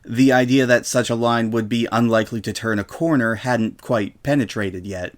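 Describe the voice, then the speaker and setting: A man's voice: medium pitch, fairly even intonation, normal speed. Man narrating a comedic story. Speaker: narrator reading fan fiction aloud.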